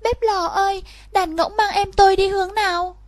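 Anxious little storybook girl, played by a woman, very high and pleading, dry recording. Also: fairly even intonation, normal speed, no room sound. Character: anxious little girl searching for her brother. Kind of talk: storybook reading.

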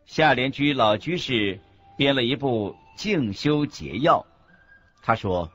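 A man playing a Buddhist monk teacher in a scripted radio lecture, husky, expressive and didactic. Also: medium pitch, slow. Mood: earnest teaching. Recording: dull lo-fi recording with faint music.

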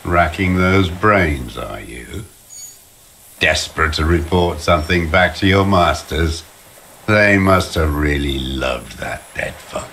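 Gravelly, bitter old deserter. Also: male voice, extremely low pitch, expressive, pushed and bright, a little hiss or hum, heavily acted. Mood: scornful. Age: older. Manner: slow, bitter, scornful muttering. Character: bitter old communist deserter, gruff and contemptuous. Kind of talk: dramatic video game voice acting. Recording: music under the voice. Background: background music.

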